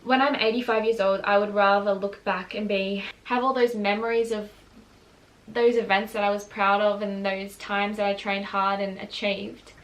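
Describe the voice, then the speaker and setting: A young woman speaking candidly to camera in a personal vlog, earnest and confessional, often pausing and restarting her sentences. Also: mid-range voice, little pitch movement, low-quality recording. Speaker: vlogger and runner.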